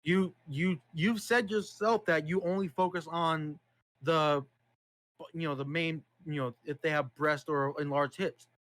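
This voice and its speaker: A man's voice: on the high side, steady tone, measured pace, a bit bright, dry recording. A man in an online voice chat argument, cross-examining with pressing, repeated questions. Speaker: debate participant.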